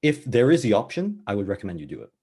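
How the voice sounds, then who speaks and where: A man who grew up in the Middle East, speaking on a panel from a hiring interviewer's side, relaxed and thoughtful with some hesitations. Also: lowish voice, fast talker, breathy edge. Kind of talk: casual advice talk.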